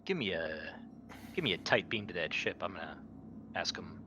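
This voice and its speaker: A man's voice: deep voice, lively intonation, moderate pace. A man playing a tabletop roleplaying game, chatting casually as he plays. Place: online voice call. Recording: clear noise on online call mic.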